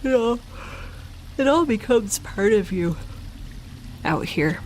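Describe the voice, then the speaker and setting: A woman's voice: medium pitch, expressive, slow pace, a little hiss or hum, poor audio. A woman playing a weary, rambling space scientist in an audio drama, with a hesitant, stammering, wry delivery. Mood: weary, dreamy. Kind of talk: naturalistic audio drama acting.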